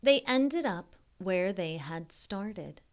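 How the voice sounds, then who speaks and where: Slow-paced woman reading aloud to children. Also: mid-range voice. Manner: expressive, deliberate reading with hushed phrases; informal, encouraging asides addressed to children. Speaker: reading tutor and storybook reader. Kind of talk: modeled children's read-aloud with chatty asides.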